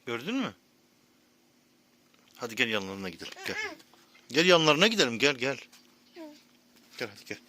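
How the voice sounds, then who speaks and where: A man's voice: mid-range voice, very animated, moderate pace, pauses often, bright, forceful tone. A man talking casually to a young child as a parent, coaxing in short, repeated prompts. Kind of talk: casual child-directed chat. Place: outdoors near animals. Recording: noisy amateur outdoor video.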